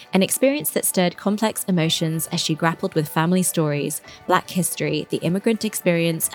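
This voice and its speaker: Woman hosting a podcast with music. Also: low voice. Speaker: podcast host. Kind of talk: scripted intro and interview questions.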